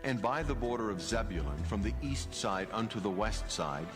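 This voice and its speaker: Male narrator, lowish register, solemn formal scripture reading, deliberate phrases, prominent music bed. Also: lively intonation.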